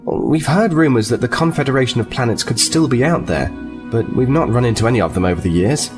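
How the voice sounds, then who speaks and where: An actor playing a seasoned Starfleet officer in an audio drama, composed and matter-of-fact. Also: male voice, medium pitch, lively intonation, fast talker, music in the background, lightly acted.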